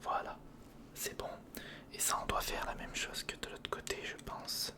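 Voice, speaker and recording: Whispering male ASMR creator. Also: normal speed. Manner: murmured, informal running self-talk addressed to the viewer. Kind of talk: whispered ASMR, casual step-by-step commentary while building. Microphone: close recorder microphone.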